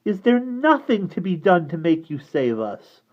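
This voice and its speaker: Man voicing a frightened young woman, pitched up high, urgent pleading, lo-fi muffled recording. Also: sing-song, slow pace, slightly rough, exaggerated voice. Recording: dull lo-fi recording.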